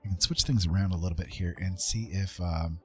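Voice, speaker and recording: A man with a fairly low voice talks casually through an art livestream. He rambles and hesitates, working things out aloud as he goes. Faint music plays behind him. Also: a little fast. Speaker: streamer giving art tutorials. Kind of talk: casual livestream chat while painting.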